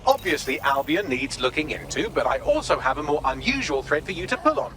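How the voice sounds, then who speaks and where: A man's voice: fairly high pitch, big pitch swings, a little fast. A man voicing a smug, sardonic British AI assistant in a video game, with a wry, wordy, theatrical manner. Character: cheeky sardonic male AI assistant, quippy and smug.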